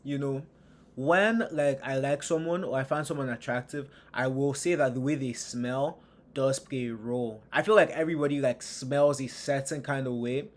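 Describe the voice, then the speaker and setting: A man reacting to a video in casual, slangy, animated commentary. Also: mid-range voice, normal speed. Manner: animated, slangy, jokey asides.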